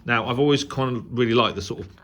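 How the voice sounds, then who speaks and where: A British man giving a casual, unscripted radio review as a hobbyist vlogger, rambling along in a hesitant, halting way. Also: mid-range voice, a bit bright. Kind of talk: casual unboxing and review talk.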